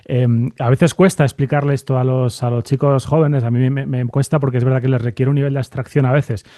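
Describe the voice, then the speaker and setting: Male podcast host, soft dark timbre, halting conversational delivery, dry recording. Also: mid-range voice, fairly even intonation, no echo. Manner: halting, repeats words while thinking aloud. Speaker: podcast host, university radio lecturer. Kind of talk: conversational interviewing.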